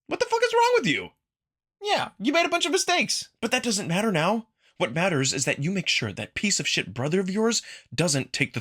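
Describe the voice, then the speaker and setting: A man's voice: fairly high pitch, a bit bright, voice pushed up, heavily acted. Man acting blunt, impassioned, exasperated loyal friend.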